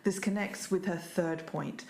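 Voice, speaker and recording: Female podcast co-host, very low and creaky, conversational. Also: fairly even intonation, unhurried, darkish tone, a little hiss or hum. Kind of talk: podcast discussion. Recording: roomy echo, faint music underneath.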